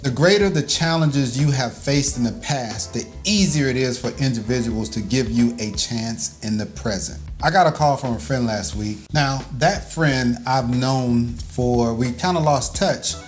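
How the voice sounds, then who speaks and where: A man's voice: mid-range voice, measured pace, few pauses, faint music behind. Laid-back father teaching with a teasing edge. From Birmingham, Alabama. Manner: teasing, laughs often. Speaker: father and video host. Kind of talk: casual teaching chat.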